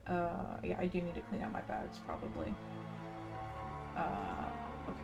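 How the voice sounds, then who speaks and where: A woman's voice: fairly low pitch, very animated, measured pace, creaky, lo-fi sound. A woman hosting a casual game playthrough, chatting and reading quest text aloud. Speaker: gaming video host. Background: game music.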